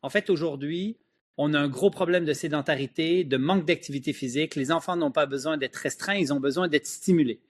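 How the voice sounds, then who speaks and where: A man's voice: fairly high pitch, fairly quick. A middle-aged man with a Quebec French accent, explaining emphatically as an expert guest in an interview. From Switzerland, moved to Quebec at ten. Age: middle-aged or older. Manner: emphatic, opinionated.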